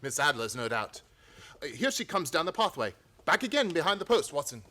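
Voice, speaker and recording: Guy acting as a sharp gentleman detective, decisive, lively intonation, old radio drama style. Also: fairly high pitch, fairly quick, full character voice. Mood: urgent, commanding. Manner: precise, decisive, authoritative. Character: shrewd, commanding gentleman detective.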